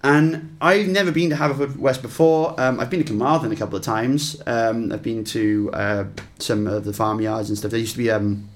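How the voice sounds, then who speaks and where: A man's voice: mid-range voice, steady tone, normal speed, few pauses. A young Welsh man talking casually as a guest musician, rambling with lots of fillers and restarts. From Wales. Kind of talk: casual interview chat.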